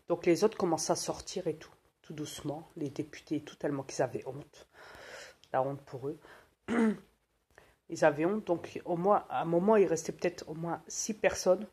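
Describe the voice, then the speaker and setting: A woman tells a story in a casual, animated and colloquial way, with many fillers. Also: sits low, husky.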